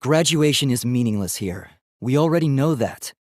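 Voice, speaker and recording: A man's voice: mid-range voice, animated, moderate pace, no echo, studio quality, lightly acted. A man voicing an earnest, logical young male robot student in a video game, speaking in a formal, precise, analytical way. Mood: earnest, serious. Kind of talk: English video game voice acting.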